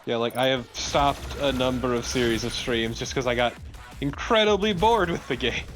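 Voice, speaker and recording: Male gaming co-commentator, average pitch, wry casual banter, prominent music underneath. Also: moderate pace, fairly bright tone.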